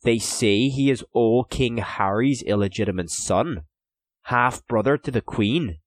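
A man's voice: mid-range voice, a little slow, no echo, pitched above the performer's own voice, lightly acted. A man voicing a defiant, grumbling Irish prisoner with a scornful, colloquial manner in an audiobook story. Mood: grim, scornful.

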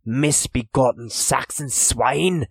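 A man's voice: lowish voice, a little slow, no room sound, studio quality. A man voicing a defiant, grumbling Irish prisoner with a scornful, colloquial manner in an audiobook story. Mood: contemptuous.

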